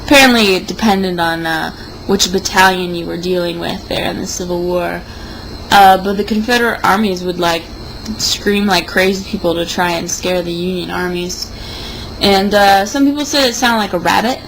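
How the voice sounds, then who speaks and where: A woman's voice: on the low side, normal speed, fairly bright tone, rough recording. A young woman in a casual, rambling monologue, sounding tipsy and sardonic. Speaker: vlogger. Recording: noticeable background noise.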